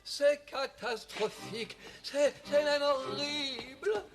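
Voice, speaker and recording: An actor voicing a fussy, pompous, easily panicked elephant courtier in a French cartoon dub. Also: male voice, very high-pitched, slow, music in the background. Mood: alarmed. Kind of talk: French-dubbed cartoon acting.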